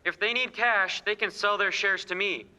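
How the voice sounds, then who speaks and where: A man's voice: sits high, moderate pace, pushed and bright, smooth. A man playing a scheming, smug rich banker who talks in a domineering way in a radio play. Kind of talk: filmed radio play acting. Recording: reverberant theater stage.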